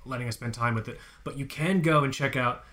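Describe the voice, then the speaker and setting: A man's voice: medium pitch, brisk, a bit bright. A man co-hosting a podcast in casual chat, hyped and profane as he plugs the website. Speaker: podcast co-host.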